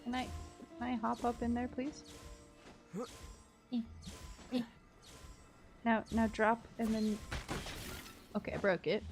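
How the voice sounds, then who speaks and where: Playful, higher-voiced woman streamer. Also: takes it slowly, pauses often, over music. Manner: playful, teasing, giggly, expressive. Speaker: livestream host. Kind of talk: casual game-stream chat.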